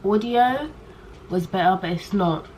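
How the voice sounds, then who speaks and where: A young woman in her late teens, chatting candidly to camera in a rambling way. She talks loudly on purpose and keeps trailing off and restarting her sentences. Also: medium pitch, animated, measured pace. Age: young adult. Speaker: vlogger. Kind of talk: casual chat to camera. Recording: poor audio with faint background noise.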